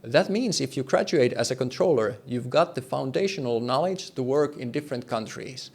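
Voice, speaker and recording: A man's voice: medium pitch, expressive, moderate pace, gravelly. A man presenting a scripted explainer in a conversational, plain-spoken way, with emphasis and light wryness. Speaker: host, air traffic control explainer.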